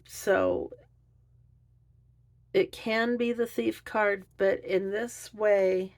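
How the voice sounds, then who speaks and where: The woman across the card table at a psychic's booth, feeling her way haltingly through a spread, slow and creaky-voiced. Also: medium pitch, fairly even intonation. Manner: hesitant, halting delivery with musing pauses. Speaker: tarot reader. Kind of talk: unscripted card reading, thinking aloud.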